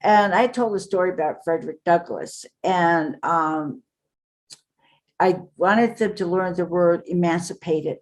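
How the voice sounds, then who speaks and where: An older woman speaks as the guest on an interview podcast, her voice sitting a little low. She tells her stories earnestly and in a rambling way, often pausing on fillers. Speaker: author and guest. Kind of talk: casual interview talk.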